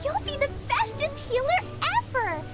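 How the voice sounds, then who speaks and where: A woman playing an excitable, innocent little girl adventurer in a video game, speaking in a childlike, sweet way. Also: extremely high pitch, moderate pace. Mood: encouraging. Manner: childlike, speaks of herself in third person. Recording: game music behind.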